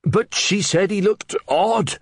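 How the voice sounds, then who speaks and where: A flustered village reeve, high-pitched and breathless, blurting everything out at once, as a male narrator puts him on. The words tumble out in an eager, gushing rush over a dry, close reading voice. This is storybook audiobook character work. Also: slow pace, husky, no room sound, heavily acted.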